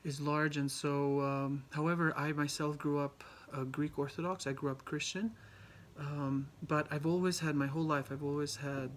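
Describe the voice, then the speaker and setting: Halting young man giving a class talk. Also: on the high side, steady tone, a little hiss or hum. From Albania. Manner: halting, many ums and restarts. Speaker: student presenter. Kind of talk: class presentation.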